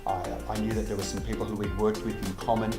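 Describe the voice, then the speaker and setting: A man's voice: fairly low pitch, level tone, fast talker. A middle-aged man, a dentist, answering interview questions earnestly and often repeating words as he starts a sentence. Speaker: implant dentist. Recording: echoey room with music behind.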